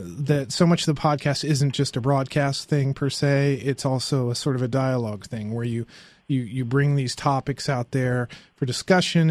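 A man hosting a podcast in casual conversation, halting and often correcting himself as he rambles along. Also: mid-range voice, a little mellow, no room sound. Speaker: podcast host.

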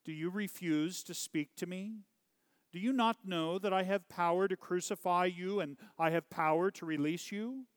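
A man voicing a troubled, pleading Roman governor in a dramatized scripture story, declamatory and deliberate. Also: fairly high pitch, smooth, dry recording, in a large hall, lightly acted. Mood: commanding, troubled. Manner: emphatic, deliberate, declamatory questioning.

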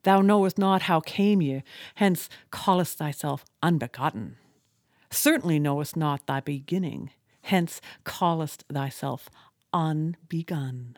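A woman's voice: low voice, unhurried, darkish tone, no echo, studio quality. A woman voicing a defiant old male sea captain, declaiming in grand, impassioned speech. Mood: exalted.

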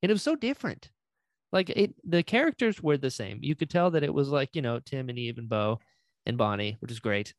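A man's voice: medium pitch, animated, a little fast, dry recording. A man hosting a casual interview, talking in an enthusiastic, gushing way and teasing playfully. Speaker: podcast host and voice actor.

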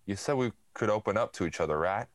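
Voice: low-pitched, lively intonation, fast, no room sound. A performer playing a goofy, naive male police sidekick in a comedic radio play, earnest and eager.

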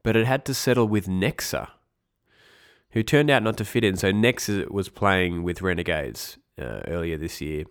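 A young man with a very low voice talks through a casual podcast. He sounds stuffed up from a cold. He rambles, backtracks and drops dry little asides. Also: moderate pace, smooth. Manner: rambling, self-correcting, wry asides. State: congested with a cold. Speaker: podcast host. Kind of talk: casual esports news podcast.

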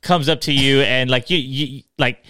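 A man's voice: mid-range voice, steady tone, normal speed, bright, forceful tone, a little hiss or hum. Teasing male podcast co-host. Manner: teasing, playful, stumbles over words. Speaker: podcast co-host and camera operator. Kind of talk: casual podcast chat.

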